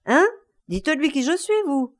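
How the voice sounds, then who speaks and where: Woman acting out a frantic, enraged betrayed husband, high-pitched. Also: sing-song, normal speed. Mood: furious, accusing. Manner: breathless, insistent, vehement outbursts.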